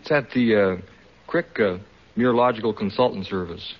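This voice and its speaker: A man from Seattle giving polite, halting answers as a contestant on an old radio show. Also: lowish voice. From Seattle, United States. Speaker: contestant, weather forecaster. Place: radio studio with audience. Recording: dull old radio recording, faint audience.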